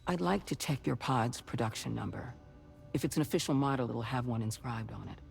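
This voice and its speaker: A calm, reassuring game doctor, with a gravelly mid-pitched voice. The delivery is measured and gentle as things are explained, with music playing behind. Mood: curious, explanatory. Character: calm reassuring doctor examining a pregnant woman and a pod. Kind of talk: naturalistic game cutscene acting.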